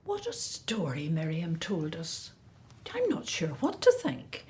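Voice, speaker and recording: A woman playing a devout, anxious, loving older Hebrew mother in an emotional, expressive style for a scripted radio drama. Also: low-pitched, slow pace, full character voice.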